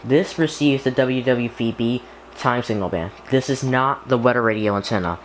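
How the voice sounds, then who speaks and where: A man casually talking through an unboxing and setup as he works, halting and half muttering to himself. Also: medium pitch. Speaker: YouTuber unboxing and setting up a radio. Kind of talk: casual unboxing narration while handling items. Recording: echoey room with clear background noise.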